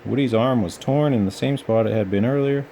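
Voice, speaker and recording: A man's voice: mid-range voice, fairly quick, warm tone, a little hiss or hum. A man narrating a children's storybook as a read-aloud storyteller.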